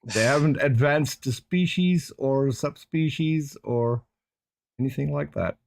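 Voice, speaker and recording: A man's voice: medium pitch, measured pace, vocal fry, a little hiss or hum. A man on a casual panel chat who makes his points with rhetorical questions. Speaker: panel guest.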